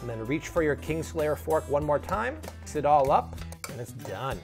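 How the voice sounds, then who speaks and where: A man's voice: a little high, very animated, moderate pace, a little mellow. Chicago man in his thirties, bouncy cooking host, home kitchen, lapel mic, music faint underneath. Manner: upbeat, playful, salesy. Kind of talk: energetic cooking demo.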